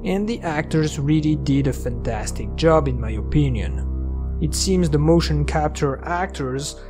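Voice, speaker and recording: A man with a somewhat high-pitched voice reads scripted commentary as a video narrator. His delivery is measured and explanatory. Game soundtrack music plays behind him. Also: expressive. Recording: music under the voice.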